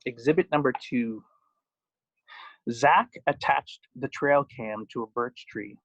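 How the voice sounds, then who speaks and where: A man's voice: medium pitch, slow pace, fairly bright tone, no echo. A man giving an author's reading of his own poems and stories, with informal asides. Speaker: poet and author. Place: at home. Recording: dull, muffled lo-fi sound.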